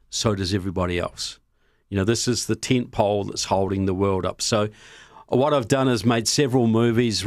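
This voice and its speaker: Deep-voiced New Zealand man, emphatic. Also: no echo, clean studio sound. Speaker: guest. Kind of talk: podcast interview.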